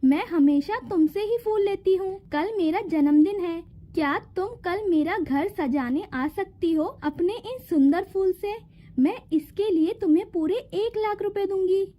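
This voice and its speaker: Woman as a high-voiced scheming jealous fairy. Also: measured pace, dark tone. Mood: falsely sweet. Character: jealous scheming female fairy who owns a garden.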